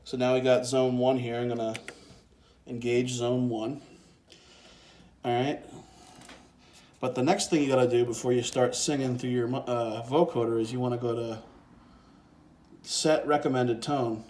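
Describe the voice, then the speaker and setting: A man with a mellow, mid-pitched voice walks through a piece of music gear step by step. His delivery is informal and laid-back, as if thinking aloud. The recording is a handheld phone video with faint background noise. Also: flat delivery, unhurried, long pauses. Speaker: presenter of a music gear tutorial. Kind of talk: casual hands-on software and synth demonstration. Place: indoors beside a synthesizer keyboard. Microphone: handheld phone and separate handheld microphone.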